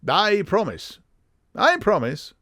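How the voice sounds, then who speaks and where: A man voicing a commanding, eager gentleman detective with a high-pitched, very English voice, brisk and courteous, in an audiobook. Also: huge pitch swings, no echo, studio quality, full character voice. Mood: courteous, firm.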